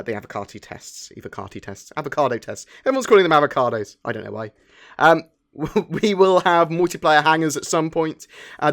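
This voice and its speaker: A British man hosting an informal gaming news show, talking in a chatty, enthusiastic way. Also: mid-range voice. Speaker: host of a gaming news channel. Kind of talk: informal scripted news commentary.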